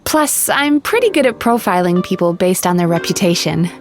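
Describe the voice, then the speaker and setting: A woman voicing a gentle, polite yokai therapist in a video game, soothing, earnest and quick to apologize. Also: on the low side, lively intonation, lightly acted. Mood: friendly, explaining. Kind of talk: scripted video game voice acting. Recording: game music under the voice. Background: background music.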